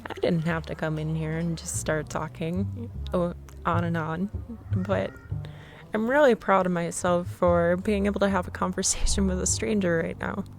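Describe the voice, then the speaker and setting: A young woman in a scripted ASMR roleplay, playing a shy, nervous stranger who rambles and laughs nervously in a soft voice. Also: fairly low pitch, fairly even intonation, moderate pace, vocal fry, soft background music.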